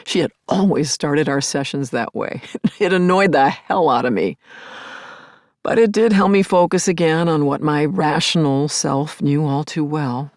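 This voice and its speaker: An actress playing a grieving, lovelorn middle-aged therapist in an intimate audio play monologue, confiding and wry, lingering on words and dropping to murmurs. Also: female voice, low voice, measured pace, darkish tone, dry recording, studio quality. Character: grieving, lovelorn woman therapist, self-aware and yearning. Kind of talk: intimate first-person dramatic monologue.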